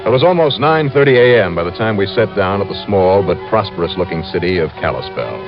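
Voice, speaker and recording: Deep-voiced wry American radio detective. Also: male voice, lively intonation, quick pace. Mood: dry narration. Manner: clipped, wry, punchy delivery. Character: wry, brisk freelance insurance investigator, male. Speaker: lead actor and narrator. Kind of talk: 1950s radio detective acting, first-person narration. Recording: muffled old radio recording with music.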